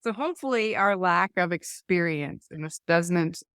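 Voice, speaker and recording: A woman's voice: lowish voice, lively intonation, moderate pace, fairly bright tone, clear, resonant tone, dry recording, clean studio sound. A woman hosting a faith podcast, talking casually and hesitantly, with frequent restarts and trailing thoughts. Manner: chatty, hesitant, restarts often. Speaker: podcast host.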